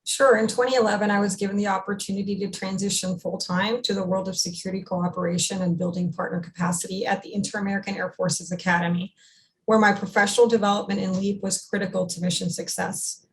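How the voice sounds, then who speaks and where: A middle-aged woman answers interview questions as a military officer. Her voice has a noticeable creak at a medium pitch, and her delivery is formal and articulate, like prepared remarks. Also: steady tone, fast talker, rough recording.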